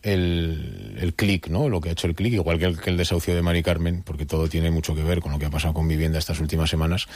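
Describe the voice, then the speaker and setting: Very deep-voiced hesitant man. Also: steady tone, quick pace, hardly any pauses, a little mellow, no echo. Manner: hesitant, thinking aloud, many fillers. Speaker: neighborhood federation president. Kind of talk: radio interview guest.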